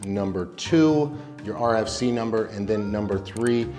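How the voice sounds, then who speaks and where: A man's voice: fairly low pitch, measured pace, darkish tone. An American man, around his thirties, hosting a casual explainer video in an informal, emphatic, slangy way. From United States. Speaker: YouTube host giving expat advice. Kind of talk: casual explainer talk to camera. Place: small indoor home studio. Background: background music.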